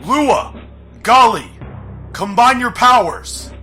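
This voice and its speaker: A man's voice: extremely high pitch, expressive, slow pace, soft background music, exaggerated voice. A man playing a commanding, fiery warrior leader with a declamatory manner in a fantasy audio drama. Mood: urgent, commanding. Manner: forceful, declamatory. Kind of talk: fan audio drama acting.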